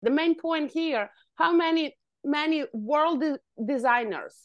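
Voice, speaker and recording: Energetic high-voiced woman. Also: measured pace, no echo, dull audio. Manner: energetic, emphatic, non-native grammar. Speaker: guest, hair stylist and business owner. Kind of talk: podcast interview.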